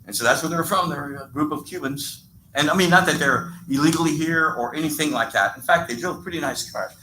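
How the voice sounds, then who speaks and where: A man's voice: on the high side, fairly quick. A man speaking at a public meeting, telling a rambling, chatty story to the board. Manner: rambling, chatty, with lively laughter. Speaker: resident speaking at public comment. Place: echoey meeting room. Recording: echoey, distant, noisy meeting room audio.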